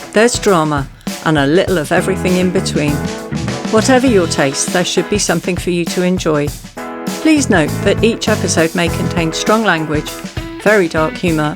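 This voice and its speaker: An announcer reading a scripted introduction to an audio story series. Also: mid-range voice.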